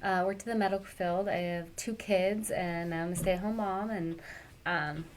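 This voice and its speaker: A woman's voice: fairly low pitch, steady tone, normal speed, background noise. A woman from California, a guest in a casual interview, upbeat and casual with some uhs. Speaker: guest, club member.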